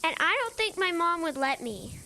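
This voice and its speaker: A woman's voice: very high voice, sing-song, normal speed, sharp tone, exaggerated voice. A young female performer playing a timid, worrying little girl in a radio drama. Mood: anxious, hesitant. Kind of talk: youth radio drama acting. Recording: noticeable background noise.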